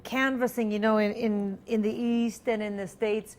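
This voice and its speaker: A woman's voice: fairly high pitch, flat delivery, normal speed. Chatty French-Canadian-born woman guest. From Alberta, Canada. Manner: chatty, many ums and restarts, laughs easily. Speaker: singer-songwriter guest. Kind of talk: casual interview chat.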